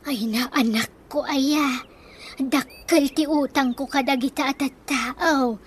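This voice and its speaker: A woman's voice: high voice, steady tone, slow pace, slight background noise. A woman with a Filipino accent playing a pleading, scheming wife and mother in debt, whining and wheedling in a comedy radio drama. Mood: scheming, coaxing.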